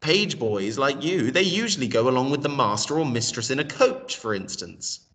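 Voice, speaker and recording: A man voicing a kindly, homely old husband in a storybook, patient and curious, gently questioning and explaining. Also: mid-range voice, expressive, moderate pace, distant mic, lightly acted.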